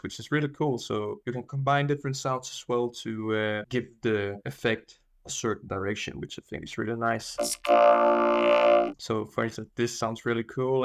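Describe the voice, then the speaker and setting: A man's voice: mid-range voice, vocal fry, a bit bright. A man casually narrating a music production tutorial as host, explaining things step by step. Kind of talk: casual screen-recorded software tutorial narration. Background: synth music and vocal effect demos.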